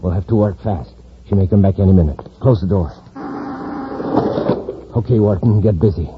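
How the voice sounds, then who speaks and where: A man's voice: low-pitched, normal speed, heavy background noise, close to an everyday voice. A man playing a suspicious, bossy criminal ringleader with a curt manner in a 1950s radio serial. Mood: suspicious, impatient. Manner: curt, commanding, distrustful. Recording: tinny, narrow old radio recording.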